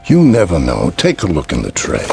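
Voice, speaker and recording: An actor playing a gentle, patient old street peddler in a radio drama, mild, polite and knowing in his manner. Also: rumbling low, huge pitch swings, moderate pace, gravelly, slight background noise, full character voice. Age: older.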